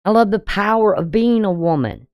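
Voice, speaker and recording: A woman with a deep voice giving a casual daily astrology reading, talking bluntly and conversationally with frequent "you know". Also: expressive, moderate pace, clear, resonant tone, dry recording, lo-fi sound. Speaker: astrology channel host.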